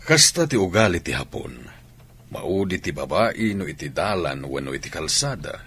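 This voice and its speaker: A man playing a calm, instructive athletics coach in a radio drama, with an Ilocano Filipino accent. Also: low-pitched, moderate pace, slight background noise. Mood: explaining.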